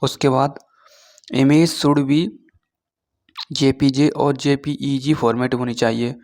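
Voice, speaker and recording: A man giving an informal explainer lesson as an online teacher, reading through points haltingly and often checking in with short tag words. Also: medium pitch, level tone, a little mellow. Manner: switches between Hindi and halting read-out English.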